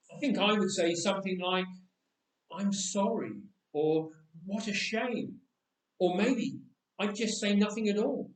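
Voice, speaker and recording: Man reading as a candid young boy, echoey room. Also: high-pitched. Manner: chatty, matter-of-fact, confiding. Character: candid thirteen-year-old boy recalling his own death. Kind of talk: first-person storybook reading. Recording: echoey room, distant microphone.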